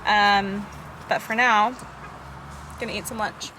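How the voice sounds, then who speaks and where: A woman's voice: mid-range voice, steady tone, slow pace. A woman in her thirties chatting casually as a family vlogger and mother. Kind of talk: casual vlog chat. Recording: clear background noise.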